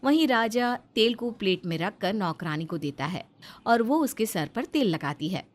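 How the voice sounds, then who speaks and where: A woman's voice: mid-range voice, animated, moderate pace. A woman reading scripted narration for a story as its narrator.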